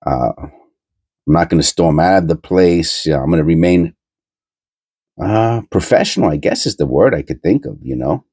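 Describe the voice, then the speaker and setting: A man's voice: deep voice, expressive, moderate pace, darkish tone, husky, dry recording, studio quality. A middle-aged man with a New York accent, nasal from a cold, rambling bluntly with many fillers as host of a coin channel. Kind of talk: rambling casual monologue.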